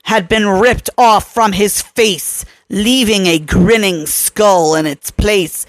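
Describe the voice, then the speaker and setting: A woman's voice: mid-range voice, slow, no echo, poor audio. A woman reading scripted horror fiction aloud as an audiobook narrator.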